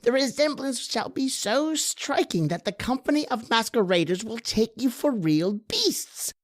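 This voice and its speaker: A woman voicing a cunning male dwarf jester, slyly scheming, with theatrical, deliberate phrasing in a radio horror drama. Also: a little high, lively intonation, no echo, studio quality. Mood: scheming persuasion.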